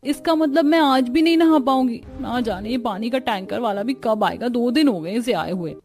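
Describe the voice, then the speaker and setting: A woman playing a young village daughter-in-law in a cartoon story, expressive and chatty. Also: fairly high pitch, normal speed, lightly acted. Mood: plaintive. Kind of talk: Hindi cartoon story dialogue.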